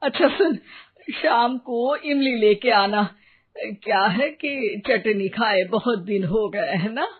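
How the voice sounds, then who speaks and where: Anxious superstitious old Indian-village mother played by a woman: high, unhurried, dramatic scolding, for an audio story. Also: animated, husky, exaggerated voice. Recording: tinny phone-like narrow band.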